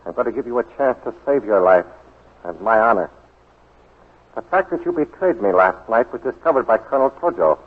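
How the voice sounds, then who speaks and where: An imperious Japanese statesman in a vintage radio play. His delivery is formal, grave and coldly controlled. The voice is husky, swimming in reverb on a thin, narrow old recording. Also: mid-range voice, animated, fairly quick. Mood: coldly menacing. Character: cold, imperious Japanese baron, head of government. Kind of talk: 1940s radio drama acting. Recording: hollow, lo-fi 1940s radio recording with long reverb.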